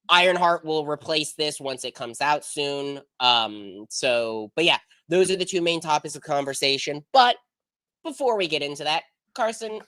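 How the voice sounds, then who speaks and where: Bright-voiced, congested young American man. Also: a little high, no echo. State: sick with congestion. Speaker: podcast host. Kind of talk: casual chat.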